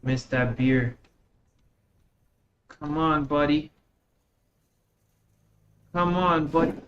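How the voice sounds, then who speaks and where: A man's voice: on the high side, extremely slow, fairly bright tone. A man with a slight Russian accent, chatting casually and in slang as he streams a game to viewers. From Russia, moved to the United States at eleven. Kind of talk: casual live-stream chat while gaming. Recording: dull lo-fi mic with faint noise.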